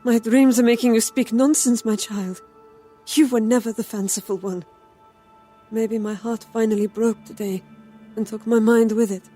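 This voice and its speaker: A woman playing a grieving, bewildered Dust Bowl farm mother in an audio drama, soft and anguished. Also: on the high side, fairly even intonation, normal speed, faint music behind, lightly acted. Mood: grieving disbelief. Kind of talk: scripted audio drama acting.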